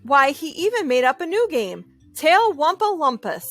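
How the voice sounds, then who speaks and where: A woman reading a children's story aloud in an expressive, deliberate storytelling style. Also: high-pitched, dry recording, studio quality, faint music behind. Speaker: storybook reader.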